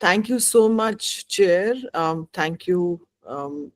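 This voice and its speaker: A woman giving a measured talk as an invited expert at a conference. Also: fairly low pitch, a little hiss or hum. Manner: measured, with um and uh fillers.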